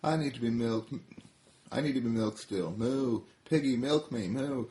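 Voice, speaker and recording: A man doing a high-voiced mooing cow. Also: moderate pace, warm tone, lightly acted. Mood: pleading. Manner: moos between words. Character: bossy excitable female cow, mooing. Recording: roomy echo.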